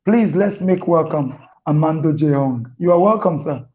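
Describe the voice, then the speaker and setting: A man hosting a live motivational talk show, speaking slowly and deliberately. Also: on the high side, animated, a little mellow, lo-fi sound. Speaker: host.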